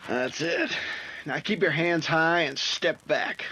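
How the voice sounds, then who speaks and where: An actor playing a rough, wary outlaw gunman in an old-time radio adventure, giving curt, menacing orders. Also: a little high, slow, bright, forceful tone, heavily acted, poor audio. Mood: threatening. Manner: clipped, gruff, menacing orders.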